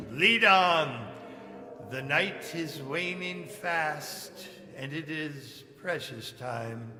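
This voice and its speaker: An actor playing a fearful, repentant old miser in a stage radio play, stammering and pleading in theatrical tones. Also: male voice, a little high. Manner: halting, stammering, earnest and theatrical. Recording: echoing live hall stage recording.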